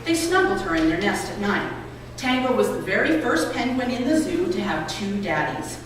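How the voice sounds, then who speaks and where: A woman reading aloud to a gathering with clear, expressive storybook phrasing. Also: medium pitch, normal speed. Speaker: reader at a public read-aloud event. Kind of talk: reading a children's book and an essay aloud. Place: college building lobby. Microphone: distant microphone. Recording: echoey hall, distant mic, noisy ambience.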